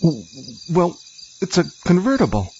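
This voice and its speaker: A man's voice: sits high, huge pitch swings, normal speed, dark tone, slight background noise. A man playing a naive, nervous young boyfriend in a comic audio drama, earnest and easily flustered. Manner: earnest, stammering when flustered. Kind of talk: parody of old teen-movie romance. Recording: muffled lo-fi with music behind.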